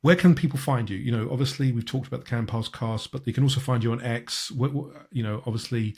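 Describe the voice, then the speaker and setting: Man, husky mid-pitched voice, podcast host, casual football chat, halting repeated words. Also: a little mellow.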